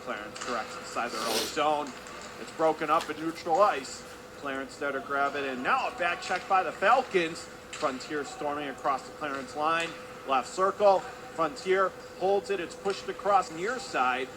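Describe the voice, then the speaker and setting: A male radio play-by-play announcer with a fairly high voice calls live hockey. He speaks in clipped running phrases that rise with the action. Clear arena noise sits behind him. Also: normal speed. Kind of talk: live radio sports commentary. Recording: clear background noise of an ice rink.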